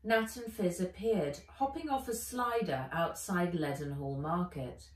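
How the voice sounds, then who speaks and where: A woman author reading her children's adventure book aloud with dramatic, deliberate storybook narration. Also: lowish voice. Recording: roomy echo, a little far from mic.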